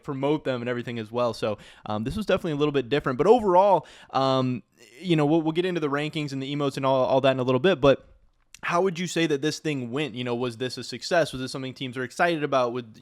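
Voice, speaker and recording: A man co-hosting a relaxed esports podcast. He talks fast at a middle pitch and strings ideas together with "like" and "you know". He keeps an easy, conversational flow. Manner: chatty, many fillers like "you know". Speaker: podcast co-host. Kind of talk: casual podcast discussion.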